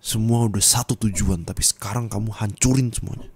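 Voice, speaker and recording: A young man voicing an angry, heartbroken young man who scolds his partner, swinging from shouting to low bitter muttering, in an ASMR roleplay. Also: fairly even intonation, a little fast, slightly rough. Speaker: roleplay voice actor.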